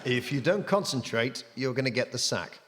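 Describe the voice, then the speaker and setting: A man's voice: mid-range voice, lively intonation, moderate pace. A man with a dry, needling manner, bantering as a panellist on a comedy game. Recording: echoey theatre, loud audience and noise.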